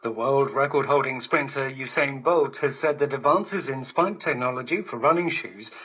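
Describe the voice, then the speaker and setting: Bright, slightly husky male newsreader. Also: medium pitch, moderate pace. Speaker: news presenter. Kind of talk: formal scripted radio news reading. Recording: digital shortwave radio broadcast reception.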